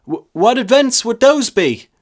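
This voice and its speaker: A man's voice: extremely high pitch, big pitch swings, a little slow, pitched above the performer's own voice, heavily acted. A man voicing a nervous, guilty young male parishioner in a story, stammering, earnest and panicky. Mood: puzzled. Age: young adult. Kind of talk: short story character reading.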